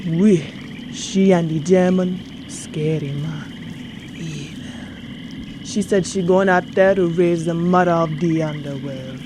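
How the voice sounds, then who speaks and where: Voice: mid-range voice, slow pace, background noise, natural voice. A performer playing a superstitious, frightened townsperson in a video game, speaking dramatic broken English with French words. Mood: fearful, ominous. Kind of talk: video game cutscene acting.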